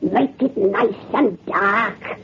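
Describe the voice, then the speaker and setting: Cracked old witch hag, gleefully sinister, drawn-out spooky storytelling, tinny noisy old radio sound. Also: medium pitch, a bit bright. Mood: conspiratorial. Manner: rustic archaic dialect, slow and gloating. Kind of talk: 1930s radio horror acting.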